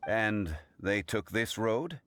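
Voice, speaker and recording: Low-voiced, dignified gentleman adviser. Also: expressive. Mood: composed, thoughtful. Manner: formal, measured, articulate. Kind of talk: video game voice acting.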